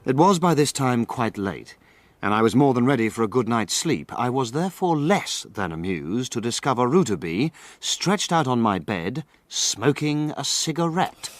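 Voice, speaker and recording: An actor playing a wry, refined gentleman narrator of a radio mystery, measured and understated. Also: mid-range voice, big pitch swings, no room sound, natural voice. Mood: wry, reflective. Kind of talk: scripted first-person drama narration.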